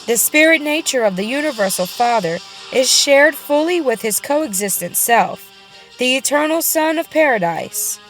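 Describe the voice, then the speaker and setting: A woman with a fairly high voice narrates a spiritual audiobook. She phrases each line solemnly and deliberately, setting words apart with pauses. Faint music plays underneath her reading. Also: animated, fairly bright tone, rough recording. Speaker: audiobook reader. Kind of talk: scripted reading of a religious book.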